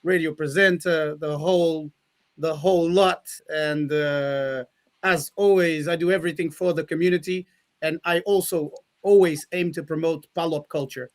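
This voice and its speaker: A man's voice: on the high side, fairly even intonation, normal speed. A man from Mozambique who grew up in Portugal, talking casually as a talk show co-host. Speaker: senior co-host, dance teacher and DJ.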